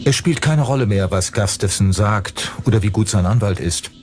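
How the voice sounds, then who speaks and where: A man's voice: fairly low pitch, moderate pace. A man reading scripted narration for a true-crime documentary.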